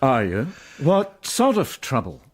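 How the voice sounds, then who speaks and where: Kindly male gallery keeper, mid-pitched, reassuring, gently teasing, radio drama. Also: huge pitch swings, slow pace, slightly rough, heavily acted.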